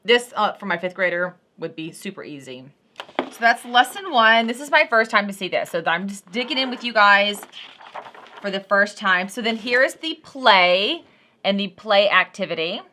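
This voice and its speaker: A woman with a bright, forward voice at an average pitch hosts an unboxing vlog. She chats casually and without a script, speaking straight to her viewers in folksy, informal language. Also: animated, normal speed, slight background noise. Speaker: host of unboxing and review vlog. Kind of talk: casual unscripted product review chat.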